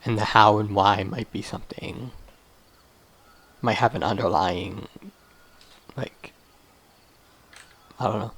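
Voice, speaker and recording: A man's voice: on the low side, fairly even intonation, takes it slowly, long pauses, a bit bright. A man giving casual let's play commentary, musing aloud and sometimes trailing off mid-thought. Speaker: gaming YouTuber. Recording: game music clearly behind the voice.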